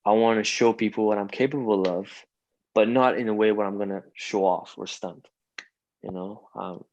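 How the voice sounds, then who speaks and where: A young man from Iran talks loosely in an interview, his coloring the English he learned after Farsi. His voice sits fairly low and rambles through fillers, restarts and "you know"s. The sound is close and dry, with no room around it. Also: fairly even intonation, moderate pace, darkish tone, no room sound, muffled recording. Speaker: rapper guest. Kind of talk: casual interview chat.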